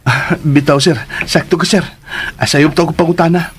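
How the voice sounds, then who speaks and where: A man's voice: fairly high pitch, fairly even intonation, fairly quick, breathy edge. A man acting a cheeky, humble young provincial job seeker in a Filipino radio drama, talkative and deferential, with a Cebuano accent. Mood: amazed, chatty.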